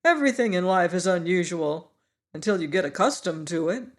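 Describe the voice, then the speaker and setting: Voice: mid-range voice, big pitch swings, a little slow, pitched above the performer's own voice. A reader voicing a cheerful male scarecrow who is proud of his brains. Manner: proud and approving.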